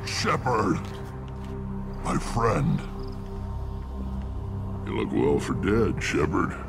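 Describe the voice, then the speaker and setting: Alien warlord: big gruff veteran chief in a game, hearty authority, extremely low gravel. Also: male voice, big pitch swings, drawn-out words, loud music behind the voice, full character voice. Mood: hearty, commanding. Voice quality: deep gravelly rumble.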